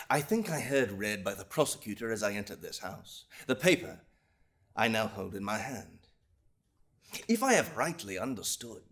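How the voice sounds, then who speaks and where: A man playing an impassioned young eighteenth-century lawyer in a dramatic, theatrical courtroom oration about injustice, with long pauses and big swings of force. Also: medium pitch. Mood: measured, restrained. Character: impassioned young 18th-century lawyer, indignant defender of liberty.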